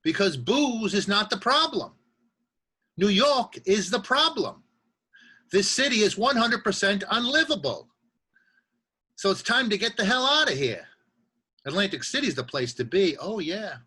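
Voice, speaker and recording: Actor as husky, forceful, boozy streetwise man. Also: fairly high pitch, animated, a little fast, few pauses. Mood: defiant, agitated. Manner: punchy, sardonic storytelling. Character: streetwise, self-deluding hard-drinking man confessing his downfall. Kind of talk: theatrical monologue.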